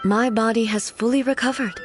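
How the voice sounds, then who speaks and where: A woman's voice: fairly high pitch, fairly even intonation, close to an everyday voice. Solemn, dignified ruler, a woman acting, over music. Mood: earnest, grateful. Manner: grave, measured, formal. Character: solemn, dignified female ruler, ageless and introspective. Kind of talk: video game character dialogue. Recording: music clearly behind the voice.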